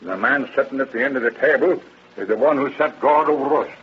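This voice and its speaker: A man's voice: medium pitch, quick pace, lightly acted. Male actor, stubborn old laundry handyman, cautious, plain-spoken, ungrammatical, vintage radio play, lo-fi with light hiss. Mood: stubborn, fussy. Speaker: witness in court. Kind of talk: 1930s radio acting. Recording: muffled old radio recording, faint noise.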